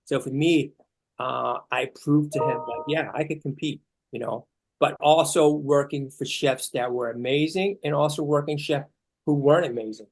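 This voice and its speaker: A man's voice: a little high, normal speed, gravelly, faint music behind, rough recording. A man from Chile, a chef, telling a reflective story in a rambling way with many fillers. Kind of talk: casual panel talk.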